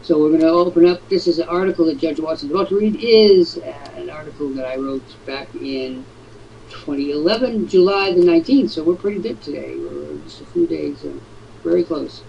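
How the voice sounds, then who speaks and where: Man, raspy, mellow tone, rambling joking host, lo-fi audio, faint background noise. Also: fairly high pitch, fairly quick. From New England. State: raspy throat. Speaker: webinar host. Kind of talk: casual webinar chat.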